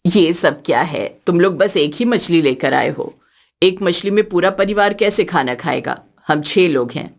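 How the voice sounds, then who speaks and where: Cartoon mother-in-law, female narrator acting: older woman, thrifty, commanding, deepened voice, blunt delivery. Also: lively intonation, normal speed, vocal fry. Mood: scolding.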